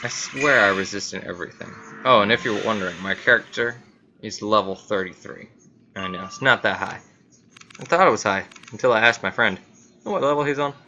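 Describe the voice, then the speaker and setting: A man's voice: lowish voice, fairly quick, bright, forceful tone, highs cut off. A man giving casual, rambling gameplay commentary, talking to viewers and to himself. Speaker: gamer commentating own gameplay. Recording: clearly noisy amateur recording.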